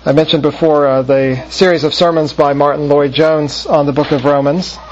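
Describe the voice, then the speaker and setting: A man teaching a Bible class, reading scripture in a measured way and then lecturing with many fillers and restarts. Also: a little high, level tone, muffled recording, a little hiss or hum.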